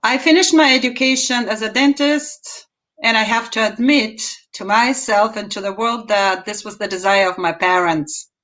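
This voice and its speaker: A woman in her fifties with a Bulgarian accent, speaking on a video call from a room at home as an interview guest and telling her story in a measured, deliberate way with emphatic rises. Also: a little high, a bit bright. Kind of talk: interview answers, personal story.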